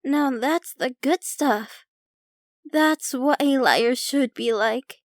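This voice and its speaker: Woman as possessive ancient vampire girlfriend, ASMR roleplay, very slow delivery, sweetly menacing, intimate close mic, dry sound. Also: sits high, no room sound. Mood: pleased. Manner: unhurried, doting yet possessive, casually sinister. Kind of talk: ASMR roleplay, intimate scripted monologue to the listener.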